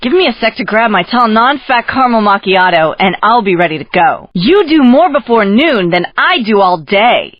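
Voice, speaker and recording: A woman's voice: on the high side, no room sound, highs cut off. A woman playing a sassy, upbeat race announcer in a video game, teasing the driver with playful sarcasm. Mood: breezy wisecracking. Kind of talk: scripted video game commentary.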